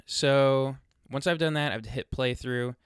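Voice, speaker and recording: A man's voice: medium pitch, measured pace, clear, resonant tone, no room sound. Casting: male how-to presenter, clean resonant tone, informal and chatty, dry close-mic sound. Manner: informal, chatty, thinking aloud while demonstrating. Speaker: tutorial presenter. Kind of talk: casual screen-recorded software walkthrough. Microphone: close USB microphone.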